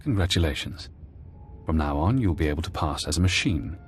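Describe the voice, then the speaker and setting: A man playing a calm, precise intelligence handler in a scripted game cutscene, speaking in a measured, detached way. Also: very deep voice, soft background music. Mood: brisk, detached. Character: calm, clinical male intelligence handler and tech expert.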